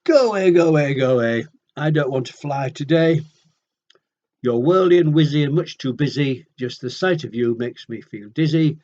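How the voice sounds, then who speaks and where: A cross, fussy female storybook bird, acted out by a man, loud and emphatic with big swoops of pitch. The rhymes come out as firm, huffy refusals, on a slightly muffled recording. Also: animated, normal speed, natural voice. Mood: cross, dismissive. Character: grumpy female bird shooing everyone away crossly.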